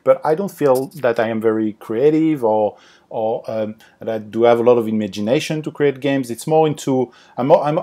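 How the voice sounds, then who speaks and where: French-accented man, halting speech. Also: mid-range voice, mellow. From France. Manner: hesitant, many fillers and restarts. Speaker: game designer guest. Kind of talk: podcast interview.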